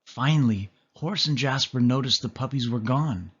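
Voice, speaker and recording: A man reading a children's story aloud as an expressive narrator. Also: mid-range voice, moderate pace, husky, dull audio. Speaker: storybook reader.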